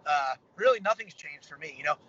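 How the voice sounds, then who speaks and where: A man's voice: fairly high pitch, lively intonation, fairly quick, pushed and bright, husky. A young man in a parked car, speaking on a video call as a fighter being interviewed, upbeat and rambling with many fillers. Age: young adult. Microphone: phone camera on a video call. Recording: slightly dull video-call audio with faint car hum.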